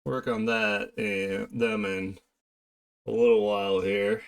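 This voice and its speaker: A man chatting casually on an art live stream, talking haltingly and half to himself as he works. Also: lowish voice, level tone, creaky. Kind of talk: casual live-stream chat while drawing.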